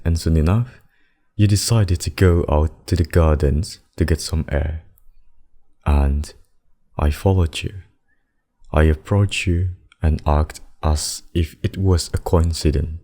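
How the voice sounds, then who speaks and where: A man performs a possessive demon king with a very low, dark voice. He woos in slow, theatrical phrases, smug and courtly, with menace under the sweetness, in an ASMR roleplay. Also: full character voice. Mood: smitten.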